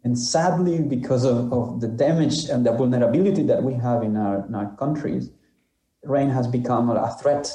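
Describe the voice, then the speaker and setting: Honduran-accented male interviewee, native Spanish speaker, reflective and halting, very warm dark timbre, roomy echo. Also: mid-range voice. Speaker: interviewee in a charity film. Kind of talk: personal testimony. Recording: echoey room sound.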